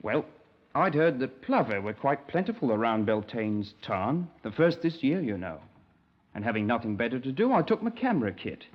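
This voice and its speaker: Earnest young English scoutmaster, very animated. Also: male voice, medium pitch, normal speed. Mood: earnest, rambling. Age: young adult. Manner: polite, hesitant, wordy, punctuated with sir. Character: naive, earnest, rambling young English scoutmaster, easily affronted. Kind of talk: 1950s radio crime drama acting. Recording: dull, band-limited 1950s radio recording.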